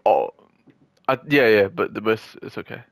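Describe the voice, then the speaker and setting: A man's voice: on the low side, very animated, moderate pace, creaky, dry recording. A young man in casual gaming chat with a friend, joking and trash-talking and often repeating his words. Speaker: gamer.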